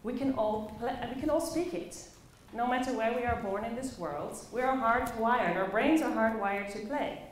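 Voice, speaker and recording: A woman from the Netherlands with a Dutch accent, telling personal stories as a conference speaker, expressively and with dramatic pauses. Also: a little high. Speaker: conference speaker, play facilitator. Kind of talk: personal storytelling talk to a live audience. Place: echoey indoor conference room. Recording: very echoey room, faint background noise.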